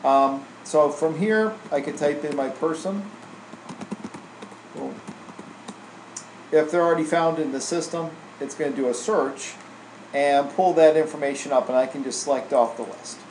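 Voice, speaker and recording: A man walking through software in an informal, casual tutorial style, like a trainer showing someone the steps. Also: fairly high pitch, normal speed, long pauses. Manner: casual, informal, tags statements with "right?". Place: indoor room, screen recording voice-over. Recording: roomy echo, faint air-conditioning hum.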